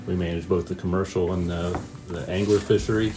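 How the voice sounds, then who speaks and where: A man in his forties from Northwest Ohio, a fisheries administrator answering interview questions in a measured way with frequent pauses and restarts. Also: deep voice, fairly even intonation.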